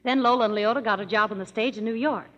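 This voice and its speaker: A woman's voice: a little high, fast talker. A woman guest on a radio show, telling a family story in bubbly, jokey scripted banter. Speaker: actress guest. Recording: muffled old radio broadcast in a hall.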